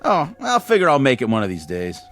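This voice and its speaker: An actor playing a friendly, easygoing nineteen-year-old elevator operator in a television drama, with a colloquial, eager and deferential way of talking. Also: male voice, mid-range voice, huge pitch swings, brisk, a bit bright, faint music behind. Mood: amiable, unconvinced.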